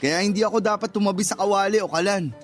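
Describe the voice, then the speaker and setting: Shy, oblivious young man, male actor, radio drama customer, high-pitched and plain-spoken, faint music bed. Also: quick pace, natural voice. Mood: innocent, puzzled. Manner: innocent, puzzled, plain-spoken. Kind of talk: Tagalog radio drama acting.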